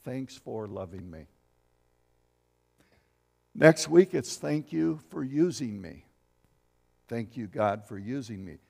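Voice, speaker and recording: A gravelly-voiced man in his seventies preaches from the pulpit of a church, with a long reverb hanging after his words. He reads verses aloud and asks the congregation questions. His pitch sits in an ordinary middle range for a man. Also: animated, slow, long pauses, a little mellow. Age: 70s. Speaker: pastor. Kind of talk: sermon. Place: church sanctuary.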